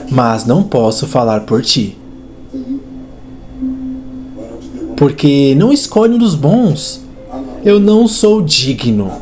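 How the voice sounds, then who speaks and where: A man's voice: medium pitch, warm tone. A man dubbing a fervent preacher in a sermon, speaking emphatically and with rhetorical repetition. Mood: pleading, dramatic. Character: fervent male preacher exhorting a congregation. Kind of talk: dubbed sermon. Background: music.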